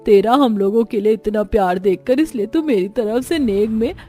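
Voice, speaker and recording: A woman voicing a kindly, approving older in-law in an animated family story. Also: a little high, moderate pace, husky, faint music behind. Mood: kind approval.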